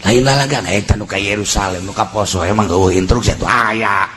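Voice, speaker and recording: A man with a Sundanese accent preaching in an animated, earthy, joking storytelling style. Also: fairly low pitch, fairly quick, slight background noise. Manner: animated, breaks into shouts and laughter. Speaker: Islamic preacher. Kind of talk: humorous storytelling sermon.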